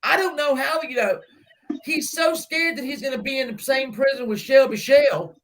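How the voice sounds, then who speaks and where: A woman's voice: sits high, moderate pace, rough recording. A woman hosting a casual group livestream chat, effusive and affectionate yet blunt, with some swearing. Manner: affectionate, effusive, terms of endearment. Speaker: live stream host.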